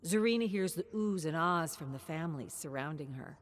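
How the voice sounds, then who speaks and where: A woman reading scripted dramatic fiction in a measured, descriptive storytelling style. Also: low-pitched, expressive. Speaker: narrator. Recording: clear background noise, ambience.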